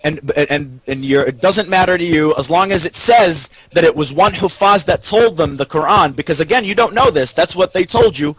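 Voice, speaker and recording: A man with a slightly high, husky voice argues in an unscripted panel debate. He stammers and repeats himself as he pushes his point, and the sound is dry and close. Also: moderate pace, hardly any pauses, a bit bright, no echo, low-quality recording. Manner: argumentative, repetitive, stammering.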